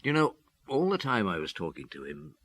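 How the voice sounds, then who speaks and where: An actor playing a reflective police detective recounting a case as conversational radio drama narration. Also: male voice, mid-range voice, wildly swooping pitch, brisk, fairly bright tone, heavily acted. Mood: musing.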